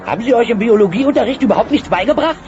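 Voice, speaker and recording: A man's voice: very high-pitched, lively intonation, racing, music in the background. A man playing a small, wisecracking, resigned prey animal in a German cartoon audio play, sardonic and matter-of-fact. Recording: muffled, noisy cassette transfer.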